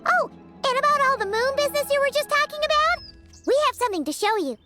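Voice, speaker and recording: A tiny video game fairy sidekick with an extremely high, childlike squeak. The delivery is bouncy and exclamatory, swooping around with eager cheer, over background music. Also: female voice, lively intonation, quick pace, pushed and bright, full character voice.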